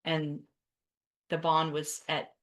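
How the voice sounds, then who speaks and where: A woman's voice: deep voice, monotone, slow pace, a bit bright. An American woman presiding as a judge, speaking procedurally in a courtroom. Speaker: judge presiding over a hearing. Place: courtroom during a hearing.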